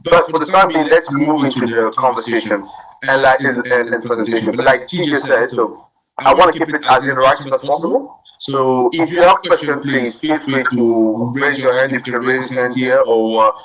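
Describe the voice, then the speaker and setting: A man's voice: medium pitch, moderate pace, over a noisy crowd, rough recording. Man from Cameroon presenting a webinar. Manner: many restarts and fillers, rising energy. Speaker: webinar speaker.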